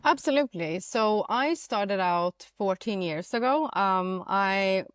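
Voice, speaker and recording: A woman's voice: medium pitch, expressive, slow, no echo, studio quality. Chatty Swedish-raised woman guest. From Sweden. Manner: chatty, many fillers, laughs easily. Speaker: marketing director, guest. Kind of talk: casual interview chat.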